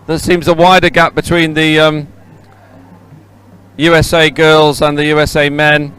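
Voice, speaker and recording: Clear-voiced man commentating live, higher-pitched. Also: level tone, fairly bright tone. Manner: hesitant, halting, often repeating and correcting himself. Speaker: race commentator. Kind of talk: live unscripted sports commentary. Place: outdoors beside a race course.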